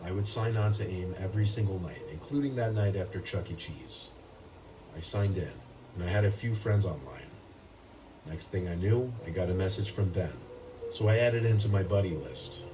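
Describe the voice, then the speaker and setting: A man delivers scripted first-person horror narration in a very low voice. The sound is distant, as if played back across a room, with clear noise and ambience around it. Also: normal speed. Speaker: scary story narrator. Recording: playback heard from afar, noisy.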